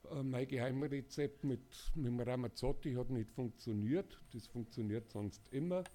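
An older man, hoarse, speaking casually and haltingly to a live audience as a singer-songwriter. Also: mid-range voice, dark tone. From Bavaria, Germany. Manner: halting, many filler sounds. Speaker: singer-songwriter introducing an event.

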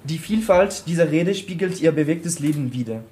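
A non-native man with a slightly high voice reads a book preface to a room, stumbling and filling gaps with fillers. There is a faint hiss underneath. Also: animated, normal speed, warm tone. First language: not German. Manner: apologetic, searching for words, frequent fillers. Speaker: book presenter reading a preface. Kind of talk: halting reading aloud.

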